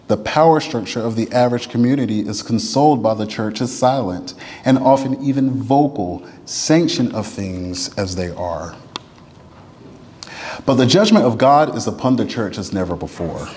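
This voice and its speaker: A man reads a written letter aloud in a solemn, oratorical style, with deliberate, emphatic phrasing and slowed, falling endings to phrases. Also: medium pitch, warm tone. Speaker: reader at a church service. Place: church sanctuary. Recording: long reverb of a large hall.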